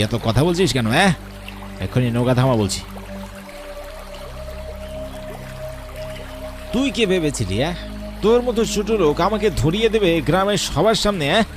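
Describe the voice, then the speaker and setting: A man's voice: mid-range voice, natural voice. A man voicing an arrogant, scheming, murderous landlord in a horror cartoon, bullying and taunting. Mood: threatening, angry. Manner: bullying, haughty, taunting rhetorical questions. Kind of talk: Bengali horror cartoon voice acting.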